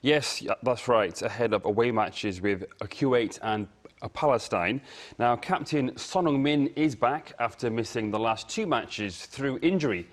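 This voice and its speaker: A man's voice: mid-range voice, unhurried. Man presenting sports news. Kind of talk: broadcast sports report. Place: TV news studio.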